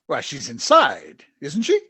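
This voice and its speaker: A woman playing a stern, evasive doctor in an old-time radio drama, with a husky voice and an officious, halting manner. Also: low-pitched, huge pitch swings, bright, forceful tone, full character voice. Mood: flustered.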